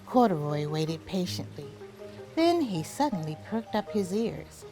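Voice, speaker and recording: A woman narrates a picture book for children. Her reading is expressive and deliberate, with emphatic phrasing and a lively, swooping pitch, over faint music. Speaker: library story reader.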